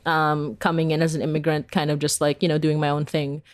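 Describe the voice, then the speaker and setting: A woman from the Philippines speaks as a guest in a relaxed interview. Her voice is a little low for a woman. She is informal and giggly, thinking aloud in chatty, looping phrases. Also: fairly quick. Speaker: interview guest, horror audio drama creator. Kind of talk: casual, chatty interview answers.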